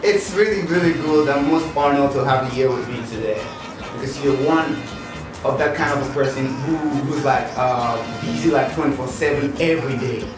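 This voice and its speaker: A man's voice: medium pitch, normal speed, distant mic. A man hosting an interview show, chatty and upbeat, with lots of fillers. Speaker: host, MC. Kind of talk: casual talk-show interview. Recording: echoey room, heard from afar, music behind.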